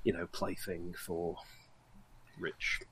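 A man's voice: sits low, flat delivery, slow pace, bright, forceful tone, slight background noise, low-quality recording. A man, narrating as game master, hesitant and full of ums and you-knows.

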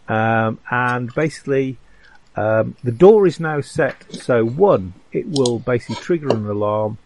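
A man running a tabletop game as game master, talking casually in a halting, rambling way. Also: medium pitch, a little mellow.

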